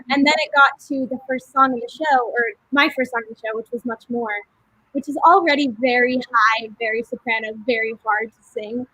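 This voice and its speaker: A young woman in a cast reunion telling a story in a casual, animated way, with plenty of "like" and "um". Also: high voice, no room sound, low-quality recording. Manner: animated, with many fillers. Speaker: cast member.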